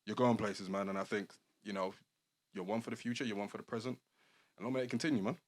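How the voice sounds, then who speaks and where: Male podcast host, casual and encouraging, low voice, quick delivery. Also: fairly even intonation. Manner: laid-back slangy, encouraging.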